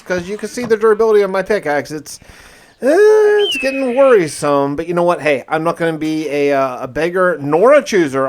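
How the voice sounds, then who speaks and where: A man with a slightly high voice narrates his gameplay in a casual, chatty way. He talks in folksy exclamations and mild slang, as a video host speaking to his viewers. Faint noise sits behind the voice. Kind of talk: casual first-person gameplay commentary.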